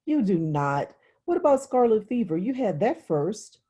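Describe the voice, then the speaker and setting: A woman plays an upset young girl in an audiobook story, confiding in plain childlike words. The voice is soft and very mellow with a husky edge, reluctant and easily exasperated. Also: mid-range voice, big pitch swings, a little slow, voice pushed up. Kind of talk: literary audiobook dialogue.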